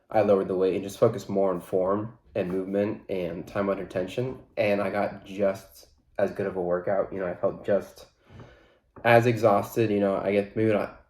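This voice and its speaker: A man's voice: sits low, roomy sound. A man hosting his own fitness podcast, talking in a loose, rambling way with lots of fillers, his voice stuffy and congested from a blocked nose. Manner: relaxed, rambling, many fillers. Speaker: podcast host. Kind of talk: casual solo podcast talk.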